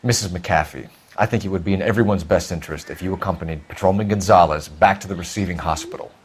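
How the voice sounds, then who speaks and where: An actor playing an earnest, polite but firm American police detective in a video game drama, questioning in a formal, measured and insistent way. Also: male voice, low voice, natural voice. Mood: firm, grave.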